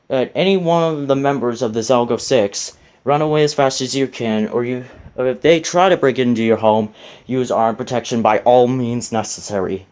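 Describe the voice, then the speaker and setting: A man playing a solemn government leader, giving a scripted emergency address in an earnest, halting amateur read. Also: mid-range voice, a little mellow, lightly acted.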